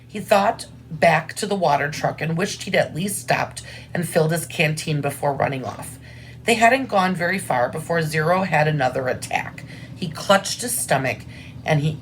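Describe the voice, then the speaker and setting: A woman's voice: fairly low pitch, slight background noise, low-quality recording. A woman reading aloud expressively as a teacher, with simple, informal explanations about what to draw. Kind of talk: expressive read-aloud with drawing asides.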